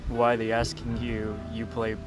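A man's voice: medium pitch, completely flat delivery, measured pace, music in the background. A man interviewing a guest in casual, loosely worded English, stringing his questions together in long rambling runs.

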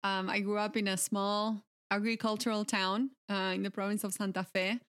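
A woman from Argentina, a translator guest, chatting in a relaxed interview. Her voice is smooth and clear at a middle pitch. She laughs easily and fills pauses with "um.". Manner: relaxed, laughs often, many fillers.

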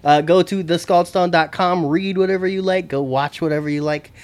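A man with a slightly high voice hosts a podcast, talking in a loose, joking way with quick asides to his friends in the room. He sounds easygoing and playful. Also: fairly even intonation. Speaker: podcast host. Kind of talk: casual podcast chat. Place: indoor recording room.

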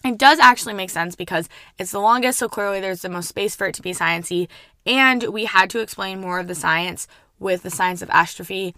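Hoarse, gravelly young woman rambling. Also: medium pitch, brisk, pushed and bright, no echo, studio quality. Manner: rambling and chatty, casual swearing. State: hoarse, worn-out voice. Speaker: podcast host. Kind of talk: casual book review.

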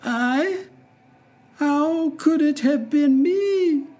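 A man voicing a small, frightened jester dwarf in a high, strained, bewildered voice. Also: extremely slow, darkish tone, exaggerated voice.

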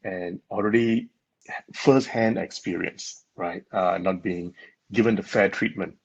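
A man's voice: low voice, steady tone, unhurried, slightly rough. A man from Malaysia, a guest in an interview, explaining in an earnest way and laughing often. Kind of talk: conversational interview. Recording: dull lo-fi sound.

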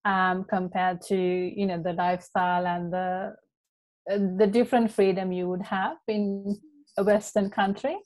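A young woman from Sri Lanka, a life coach, talking in a casual interview with an earnest, heartfelt manner and plenty of ums and you-knows. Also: mid-range voice. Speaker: podcast guest, life coach.

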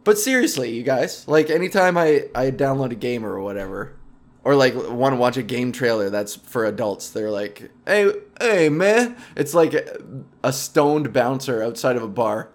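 A man's voice: medium pitch, moderate pace. A man in his thirties hosting a comedic podcast, rambling between tangents with lots of swearing and self-deprecating jokes. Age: 30s. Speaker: podcast host. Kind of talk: casual comedic podcast monologue.

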